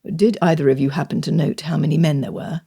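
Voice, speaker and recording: A woman voicing a stern, protective gentleman. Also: low voice, steady tone, fast talker, vocal fry, husky, voice pushed down, exaggerated voice. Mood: commanding.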